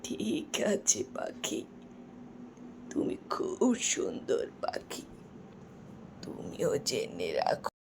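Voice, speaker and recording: A woman voicing a doting old village grandmother in a cartoon, with a low creaky voice and slow, drawn-out storytelling. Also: huge pitch swings, noisy background, exaggerated voice. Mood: fond. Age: older. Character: slow, doting old granny who makes magical sweets.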